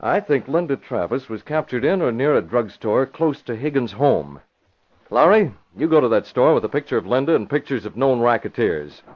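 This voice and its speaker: An actor playing a decisive young newspaper publisher in an old radio crime drama, briskly giving urgent orders with authority. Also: male voice, mid-range voice, expressive, close to an everyday voice. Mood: brisk, commanding. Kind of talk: 1940s radio acting. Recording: muffled, noisy vintage radio broadcast.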